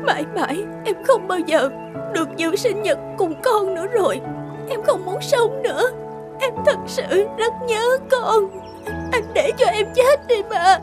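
A fragile young mother full of grief and guilt in a cartoon drama. Her voice is extremely high, plaintive and emotional, over clear music. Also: animated, measured pace. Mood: despairing. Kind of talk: melodramatic cartoon drama acting. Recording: studio voice under loud music.

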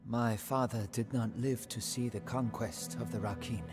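An actor playing a reverent prince who narrates a video game story about his father, grave, measured and emphatic. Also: mid-range voice, fairly even intonation, close to an everyday voice. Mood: solemn, proud. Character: solemn, reverent prince honoring his dead conqueror father. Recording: very loud music under the voice. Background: music.

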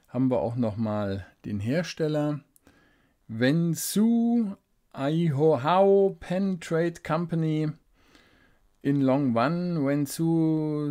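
A man giving a casual unboxing review at a desk, unhurried and thinking aloud with small fillers. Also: medium pitch, warm tone. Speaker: fountain pen reviewer. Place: indoors at a desk, hands-only camera. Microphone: studio desk microphone.

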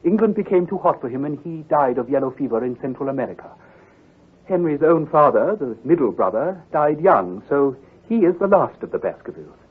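An actor playing an earnest, troubled country doctor who speaks gravely and precisely, with some hesitation, in old-time radio drama style. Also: male voice, on the high side, expressive, fairly quick. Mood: worried. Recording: muffled, husky old radio recording.

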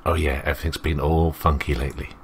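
A man's voice: rumbling low, flat delivery, quick pace, slight background noise. A man reading a visual novel aloud as a let's play host, rambling and interrupting himself with commentary.

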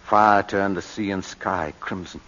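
A grave, measured lawyer. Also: male voice, low-pitched, steady tone, a bit bright. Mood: reflective. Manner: measured, earnest, reproving. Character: grave male lawyer, serious and guarded. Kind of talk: 1940s radio acting. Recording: dull old radio broadcast, faint hiss.

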